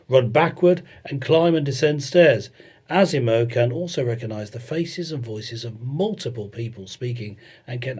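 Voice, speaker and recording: A man's voice: medium pitch, darkish tone, breathy edge. A man co-hosting a casual chat show, joking and reading bits of articles aloud. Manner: jokey, chatty, reads aloud. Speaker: podcast co-host.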